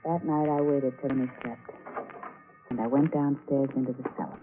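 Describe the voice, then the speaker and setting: Haunted, stammering wife, tinny old radio. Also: female voice, very deep voice, fairly even intonation. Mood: haunted narration. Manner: halting, stammering, breathlessly dramatic delivery. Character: anxious, haunted wife slowly unravelling with dread. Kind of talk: 1940s radio thriller acting. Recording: tinny vintage radio recording with music.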